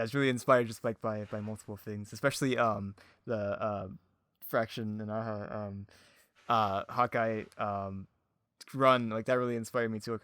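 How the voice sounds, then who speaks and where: A man's voice: on the low side, a bit bright, smooth, no room sound, studio quality. A man chatting casually as a podcast guest, rambling, giggly and self-deprecating. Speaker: podcast guest, audio drama creator. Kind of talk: casual chat.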